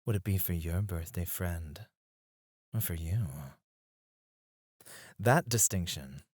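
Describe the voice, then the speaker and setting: A man playing a suave, flirtatious club escort in an intimate ASMR roleplay, teasing and breathy, close to the mic. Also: deep voice, dry recording, full character voice. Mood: playful, coaxing. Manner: slow, teasing, seductive, often dropping to a breathy murmur. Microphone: close studio microphone, dry.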